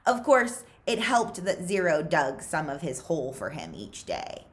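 An American woman reads a story aloud to young listeners in a slightly low voice. Her delivery is very lively and melodic, with dramatic emphasis on key words. She takes her time, speaking slowly and deliberately. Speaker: librarian reading aloud. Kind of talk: expressive children's audiobook-style read-aloud. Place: small quiet living room with bookshelves.

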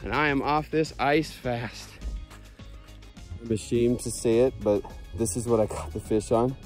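An American man in his thirties narrating a casual fishing vlog outdoors on a frozen lake, filmed on a handheld phone and at times hushed to a murmur. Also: medium pitch, fairly even intonation, measured pace, faint music behind. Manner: easygoing, drops to a hushed murmur while landing a fish. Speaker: fishing vlogger. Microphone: handheld phone camera.

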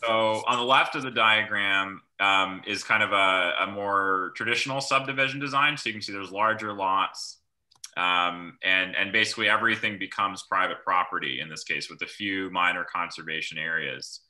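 A young man giving an informal explanation as a planner on a video call, speaking in a halting way. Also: deep voice, fairly even intonation, pushed and bright. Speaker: rural planner presenting at a public meeting. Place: small room at home, on a video call. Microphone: computer microphone on video call.

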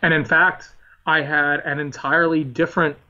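A man's voice: fairly high pitch, flat delivery, moderate pace, bright, forceful tone, muffled recording. A man in his thirties from Philadelphia, a historian being interviewed on a podcast, talking earnestly with emphatic repetition. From Philadelphia, United States. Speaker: historian guest.

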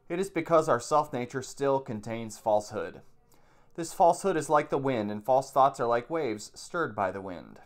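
A man around forty, a plain-spoken meditation teacher who reads aloud and explains things informally, repeating points for emphasis. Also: medium pitch. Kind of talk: reading aloud from a book with informal commentary. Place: small room at home, indoors.

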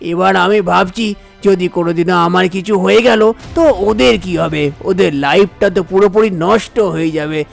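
Man acting a weary old village father, creaky voice. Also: high-pitched, fairly quick, faint music behind. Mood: worried, downcast. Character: worried, weary father of two idle sons. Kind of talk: Bengali cartoon story dialogue.

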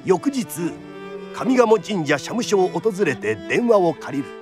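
Male narrator for period radio drama; high voice, theatrical storytelling with very varied intonation. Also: sing-song, a little slow, music in the background.

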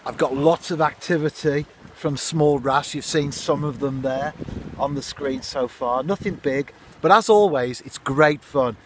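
A middle-aged man talks casually into a phone held at arm's length on coastal rocks. His voice sits a little high for a man, and he chats at an easy pace with little asides to the viewer. A faint outdoor hiss runs under his voice. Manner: chatty and unhurried, with asides to the viewer. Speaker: fishing vlogger. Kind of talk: casual outdoor narration to camera. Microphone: phone camera at arm's length.

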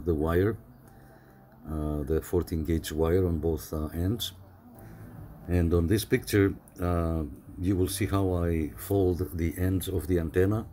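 A man hosting his own channel, casually explaining a homemade radio antenna in an easygoing, unhurried way with many fillers. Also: deep voice, a little hiss or hum. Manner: relaxed, explanatory, frequent "uh" fillers. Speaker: CB radio hobbyist host. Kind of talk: casual how-to narration.

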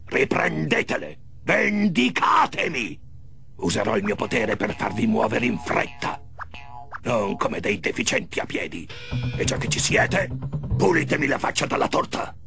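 A man voicing a vengeful, bossy evil mask spirit in a game cartoon, gravelly, theatrical and commanding. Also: mid-range voice, big pitch swings, unhurried, over music, exaggerated voice. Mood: commanding, irritated. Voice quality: gravelly, raspy. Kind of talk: animated video game cutscene acting, Italian dub.

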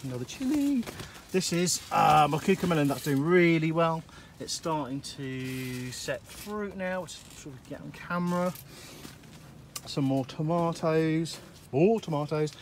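Man, fairly high voice, casual allotment tour on a phone, outdoors, faint background noise. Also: unhurried. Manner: relaxed and rambling, with frequent uhs. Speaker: allotment gardener giving a tour. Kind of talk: casual walkthrough narration. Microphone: handheld phone camera, filming while walking.

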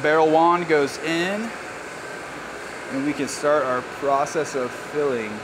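A man in his thirties working as a brewer, explaining barrel filling in a relaxed, casual way on a brewery floor. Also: a little high, slow pace. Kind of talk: hands-on explanation. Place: large brewery floor with steel tanks, indoors. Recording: clear machinery and air-con noise.

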